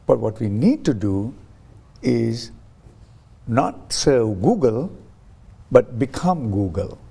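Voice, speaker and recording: A man's voice: mid-range voice, very animated, takes it slowly, creaky, warm tone, slightly rough. A man interviewed as a guest on a talk show, explaining his ideas through stories and acting out quoted voices, with frequent restarts. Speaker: guest, science ambassador.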